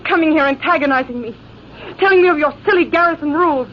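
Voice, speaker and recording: A woman's voice: sits high, quick pace, natural voice. A woman playing a proud young lady in an old radio drama, speaking in a formal, melodramatic, haughty manner. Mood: indignant. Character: proud, beautiful young woman, indignant at a jealous suitor. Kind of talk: 1940s radio drama acting. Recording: hissy, muffled old radio recording.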